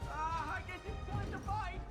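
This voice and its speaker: A man playing an excitable, bookish young mage companion in a fantasy video game, with a theatrical manner. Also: extremely high pitch, moderate pace, over loud music. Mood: excited.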